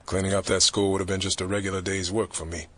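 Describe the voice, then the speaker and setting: A man's voice: sits low, level tone, fast talker, a bit bright. A man playing a grim, weary government fixer who tells his story in terse, hard-boiled narration for an audio drama.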